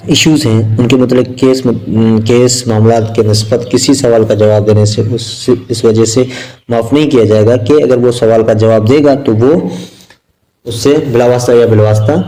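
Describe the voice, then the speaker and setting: A man with a Pakistani accent lecturing on exam material, explaining step by step in a halting, repetitive way with simple examples. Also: mid-range voice, warm tone, rough recording. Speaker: law lecturer.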